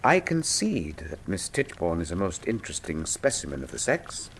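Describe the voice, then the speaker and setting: An actor playing a brilliant, cool Victorian detective, speaking formally and with dry wit in a radio drama. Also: male voice, sits low, sing-song, moderate pace, a little hiss or hum. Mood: dry, analytical. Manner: precise, formal, dryly witty. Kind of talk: full-cast radio drama acting.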